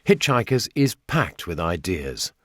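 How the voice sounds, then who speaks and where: A man's voice: low-pitched, big pitch swings, unhurried, dry recording, clean studio sound. A British man presenting a documentary, with narration and personal reminiscence.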